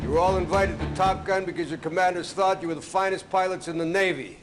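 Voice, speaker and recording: Stern higher-pitched male Navy commander played by an actor. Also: fast talker, music in the background, close to an everyday voice. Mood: authoritative. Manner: authoritative, clipped military briefing. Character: stern, gruff male Navy commander and flight school instructor. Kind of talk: acted video game cutscene dialogue.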